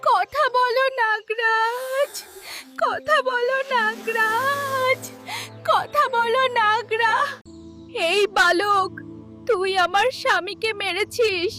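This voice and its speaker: Woman voicing a shrill vengeful snake woman. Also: steady tone, extremely slow, bright, forceful tone, soft background music, full character voice. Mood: grieving, wailing. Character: vengeful grieving female snake spirit, menacing and fierce. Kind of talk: dramatic cartoon dubbing.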